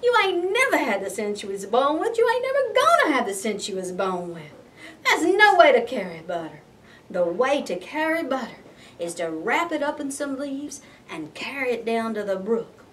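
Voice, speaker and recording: A woman's voice: fairly high pitch, very animated, moderate pace, a bit bright, distant mic, a little hiss or hum. A woman voicing an exasperated, scolding possum mama in a folksy, emphatic storybook style.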